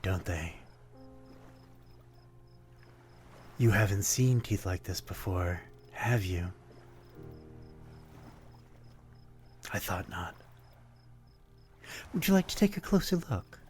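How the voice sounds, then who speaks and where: A man's voice: low voice, lively intonation, slow, pauses often, faint music behind. A man playing a melancholy, courtly vampire in an intimate ASMR roleplay, speaking politely in old-fashioned phrasing with a predatory undertone. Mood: gentle, coaxing. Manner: old-fashioned, polite, brooding, addressing one listener intimately. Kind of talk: ASMR roleplay, dramatic monologue.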